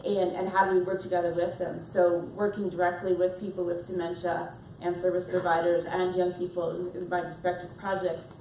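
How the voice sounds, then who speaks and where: A woman lecturer gives her panel remarks in an earnest, questioning way. Her voice sits a little low. She is heard from some distance in an echoey room with faint background noise. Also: level tone, brisk, hardly any pauses, a little mellow. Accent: non-Scottish. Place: conference room, panel event.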